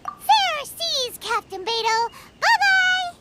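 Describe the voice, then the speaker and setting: A woman's voice: extremely high pitch, very animated, slow, bright, forceful tone, exaggerated voice. A woman voicing a tiny, chirpy, cheeky fairy companion with a childlike manner in a video game. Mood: cheerful. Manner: childlike, refers to self in third person.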